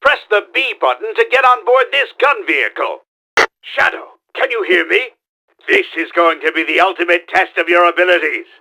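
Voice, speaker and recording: Pompous cartoony mad scientist game villain, high pitched and super animated, gravelly, bossy theatrical delivery. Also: male voice, normal speed, exaggerated voice. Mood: commanding. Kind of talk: video game tutorial voice lines.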